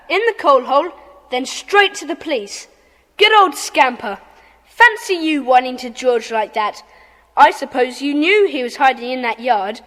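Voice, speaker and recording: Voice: high voice, bright, forceful tone, full character voice. An actor playing a bold, earnest schoolboy gang leader in a children's audio drama. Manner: earnest, commanding, urgent. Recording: echoey hall reverb.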